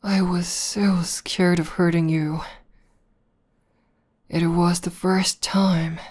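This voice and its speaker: A woman playing a tough tomboy demoness who is a devoted lover, speaking softly and haltingly in an intimate, breathy ASMR roleplay. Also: fairly low pitch, little pitch movement. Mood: vulnerable, earnest. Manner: soft, breathy, halting and earnest delivery, often dropping to whispers.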